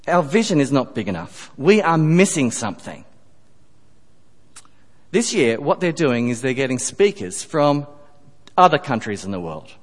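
Emphatic preacher in a reverberant hall. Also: male voice, mid-range voice. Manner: emphatic, rising on key phrases, dropping to quiet asides. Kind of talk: sermon. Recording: long reverb of a church hall.

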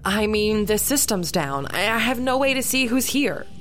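A woman's voice: fairly high pitch, animated, normal speed, a bit bright, faint music behind. An actress playing a worried, bewildered female hotel employee in an audio drama, speaking hesitantly. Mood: anxious, unsure.

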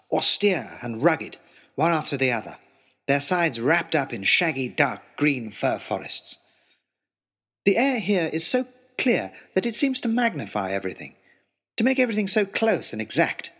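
Man playing an animated, earnest gentleman adventurer. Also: a little high, slightly rough. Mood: wonder-struck. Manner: expressive, earnest, wryly self-deprecating, genteel. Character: eager, long-suffering young gentleman adventurer, lovestruck letter writer. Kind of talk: dramatised letter reading, comic period audio drama.

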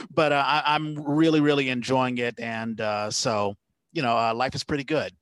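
A man's voice: mid-range voice, steady tone, moderate pace, a bit bright, dry recording. Man hosting a casual chat, dry sound. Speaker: podcast host. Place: indoors at home, on a video call.

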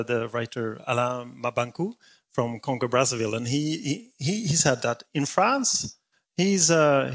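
Husky halting male interviewer. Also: medium pitch, fairly bright tone. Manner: halting, repeats words while searching for them. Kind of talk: interview conversation.

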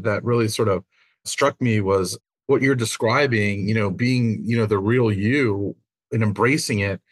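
A man hosting a podcast interview, asking friendly questions and often repeating words as he goes. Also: medium pitch. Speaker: podcast host.

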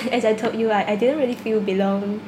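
A woman's voice: mid-range voice, steady tone, normal speed, dark tone. A young woman from China, a university student, answering interview questions casually, with many restarts and small laughs. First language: Cantonese. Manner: hesitant, repeats words, laughs often. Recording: echoey room with background noise.

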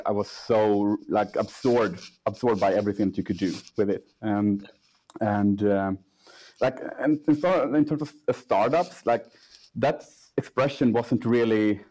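A young man, a startup CEO, answering interview questions in a casual, halting way with many restarts and fillers. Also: on the low side, darkish tone, rough recording. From Sweden.

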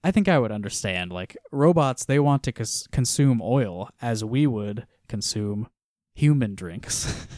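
A man co-hosting a casual podcast, walking through a comic with frequent laughter and quiet trailing-off lines. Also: medium pitch, expressive, unhurried, no echo, clean studio sound. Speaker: podcast co-host. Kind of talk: casual chat reviewing a comic.

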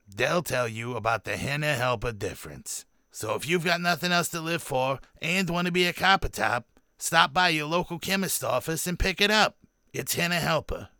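A peppy pitchman in a spoof radio ad. His voice is slightly high, bright and forceful, in a dry close recording. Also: no room sound. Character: peppy male hair dye ad salesman. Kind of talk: parody radio commercial.

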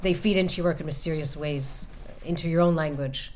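A woman author answering audience questions about her novel, speaking thoughtfully with many pauses and restarts. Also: sits low, fairly even intonation, darkish tone, slight background noise. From United States. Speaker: author at a reading. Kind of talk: book talk and audience Q&A. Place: event room with an audience.

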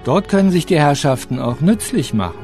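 High, lilting monk. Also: male voice, expressive, a little fast, loud music behind the voice. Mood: polite, firm. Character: brisk pious monastery brother, polite male gatekeeper. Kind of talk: comedic German radio play acting.

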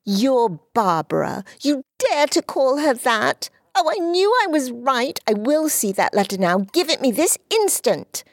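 A woman voicing an earnest, jealous little girl in an audiobook, high and eager. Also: big pitch swings, unhurried, few pauses, dry recording, studio quality, exaggerated voice. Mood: imperious. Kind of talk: audiobook character voice.

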